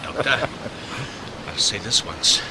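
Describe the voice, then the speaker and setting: An actor playing a stern, driven Victorian inspector in a radio mystery, with emphatic, intense delivery. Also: male voice, slow, noisy background, close to an everyday voice. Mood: commanding. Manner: emphatic, intense, clipped declarations. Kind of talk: old-time radio mystery acting.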